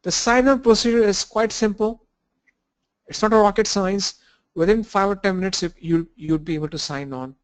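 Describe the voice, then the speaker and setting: Stuffy-nosed man teaching a class. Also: sits high. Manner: halting, restarts and fillers, walks through screens. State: blocked nose from a cold. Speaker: instructor. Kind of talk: live online training lesson.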